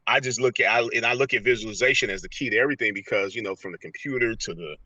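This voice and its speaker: A man's voice: medium pitch, rapid-fire, bright, forceful tone, husky, dry recording. An American man talking casually and motivationally as an interview guest, slangy and often checking that the listener follows. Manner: slangy, repeats "you know what I'm saying". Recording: slightly distant, dull lo-fi sound.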